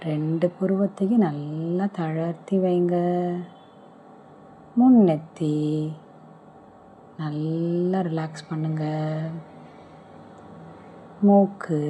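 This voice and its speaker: Slow, very mellow woman guiding relaxation. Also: low voice, slight background noise. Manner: soothing, gentle step-by-step instruction with pauses. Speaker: relaxation meditation guide. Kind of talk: guided relaxation meditation.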